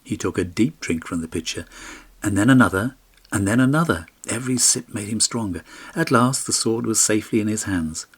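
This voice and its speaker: Male audiobook narrator, fairly low and slightly rough, telling a fairy tale with expression. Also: lively intonation, brisk.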